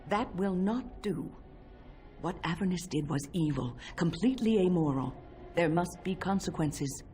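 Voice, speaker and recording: A stern, disapproving companion. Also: female voice, lowish voice, lively intonation, unhurried, dark tone, soft background music. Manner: firm, disapproving, clipped. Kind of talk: video game cutscene dialogue.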